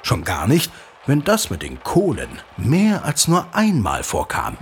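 A highly animated storytelling father. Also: a little slow, a little hiss or hum. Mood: suspenseful, animated. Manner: animated, teasing, dramatic storyteller. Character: eager storytelling father recounting an old local legend. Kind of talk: German audio drama storytelling. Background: music.

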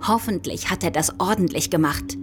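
A woman's voice: on the low side, normal speed, over music, lightly acted. An actress playing a cunning, nervous female art thief who keeps up a polite front in an audio drama. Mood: scheming. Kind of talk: German audio drama acting with inner monologue.

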